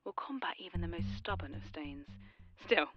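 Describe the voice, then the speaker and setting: A woman's voice: on the low side, expressive, highs cut off, faint music behind, lightly acted. An actress playing a coldly composed, mockingly polite woman who has killed, in an audio drama. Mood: chillingly chatty. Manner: measured, politely taunting.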